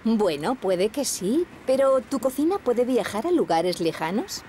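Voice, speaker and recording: A woman's voice: fairly high pitch, very animated, unhurried, mellow, a little hiss or hum. A woman playing a friendly train cook in a Castilian Spanish cartoon dub, welcoming and playful with a child.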